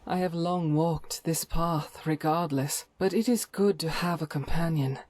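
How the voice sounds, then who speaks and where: A woman playing a devout, stern holy warrior in a video game, speaking in solemn, formal, measured phrases. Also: deep voice, darkish tone, dry recording. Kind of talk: scripted video game character dialogue.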